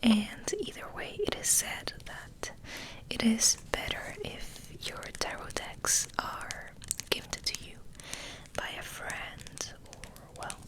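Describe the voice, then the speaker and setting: A woman ASMR host speaking in a gentle, breathy whisper, hushed and unhurried, as if rambling softly to her listeners. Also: on the high side, very animated, poor audio. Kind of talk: ASMR, soft rambling chat and reading aloud.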